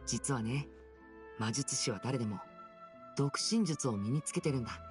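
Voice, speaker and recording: A man's voice: medium pitch, moderate pace, soft background music, natural voice. An actor playing a playful, suave young male stage magician in an anime game, talking like a coaxing showman. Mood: playful, confiding. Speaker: character voice actor.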